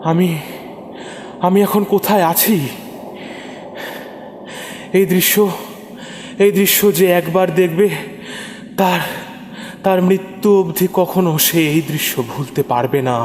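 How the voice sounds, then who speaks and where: A man's voice: high voice, unhurried, pauses often, a little mellow, slight background noise. A man narrating a first-person horror story in a suspenseful, theatrical way, repeating phrases for dramatic effect. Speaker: narrator of horror audio stories. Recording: long reverb over prominent music. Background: music.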